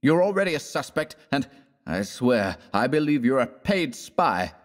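A man's voice: fairly low pitch, moderate pace, a little mellow, close to an everyday voice. A man voicing an angry young American man in a storybook, blunt and contemptuous, sneering and growling threats. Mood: menacing. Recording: long reverberant room echo.

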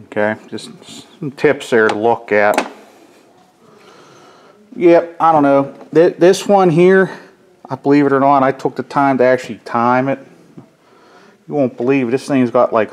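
A middle-aged man, a mechanic, talking casually and ramblingly off camera while he shows his work in a garage workshop. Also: mid-range voice. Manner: folksy, rambling, plainspoken. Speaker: mechanic and chainsaw hobbyist. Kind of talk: casual workshop how-to vlog. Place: cluttered garage workshop, indoors.

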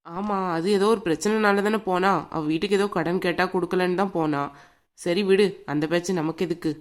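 A woman playing a gentle grandmother in a family drama, speaking in a soothing, colloquial, conversational way. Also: lowish voice, fairly even intonation, brisk, close to an everyday voice. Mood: resigned, sympathetic. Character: gentle, peacemaking grandmother, mother of a grown daughter.

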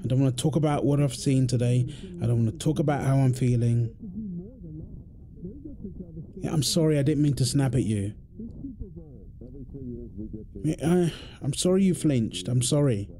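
A man's voice: medium pitch, normal speed, background noise, lightly acted. A man playing a tired, irritable firefighter husband in an intimate roleplay audio drama. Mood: weary, apologetic. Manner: weary sighs, snappy, then apologetic.

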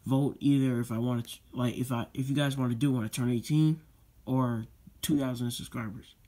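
A teenage boy hosts his own channel from a desk, talking loosely with lots of "um" and "guys". His voice sits at an ordinary middle pitch. He circles back to the same requests again and again. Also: fairly even intonation, moderate pace, warm tone. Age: teens. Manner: rambling, many fillers and repeated "guys". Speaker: YouTube channel host. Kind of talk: casual vlog unboxing talk.

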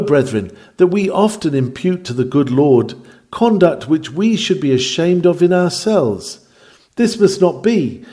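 A man's voice: mid-range voice, mellow. A man reading an old sermon aloud in an earnest, declamatory manner with formal, old-fashioned phrasing. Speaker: reader of a historical sermon.

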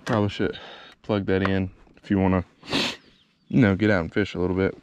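A man's voice: deep voice, flat delivery, a little fast, lo-fi sound. A man chats casually and thinks aloud in an easygoing, rambling way as he vlogs a fishing trip. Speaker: fishing vlogger. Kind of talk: casual chat to camera while fishing. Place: outdoors on a kayak on a lake. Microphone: action camera mounted on the kayak or body. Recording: noisy outdoor action-camera audio, water and clicks.

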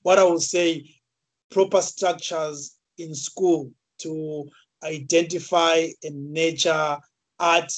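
A man in his thirties hosts an interview over a video call from a small room, his voice a little high for a man. He stumbles and repeats words, and the sound is dry and close. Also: fairly even intonation, no room sound. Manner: halting, many fillers and repeated words. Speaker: interview host. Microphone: video call webcam.

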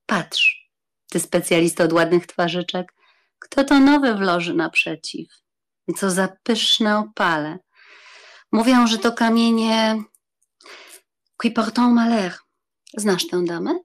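An actress playing a teasing, pouty society countess with a flirtatious manner, in a dramatized audiobook. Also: female voice, mid-range voice, slow pace, pauses often. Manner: flirtatious, teasing, French phrases.

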